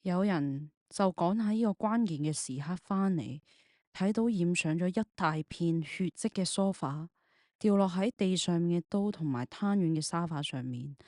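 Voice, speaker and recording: Lower, mellow woman narrating drama. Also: dry recording, clean studio sound. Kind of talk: dramatic scripted story narration.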